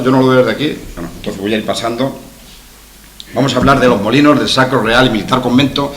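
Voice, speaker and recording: A man's voice: on the low side, a bit bright, slight background noise. Man from La giving a talk. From La Mancha, Spain. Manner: hesitant, repeats words, tags asides with "¿verdad?". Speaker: history lecturer. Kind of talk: conference talk. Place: conference room with a projection screen.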